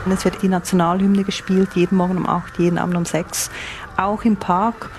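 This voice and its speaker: Swiss woman reporter explaining stuff in an interview, lowish voice, bit of background noise. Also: flat delivery, a little fast. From Switzerland. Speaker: radio foreign correspondent. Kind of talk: interview answers, descriptive reporting.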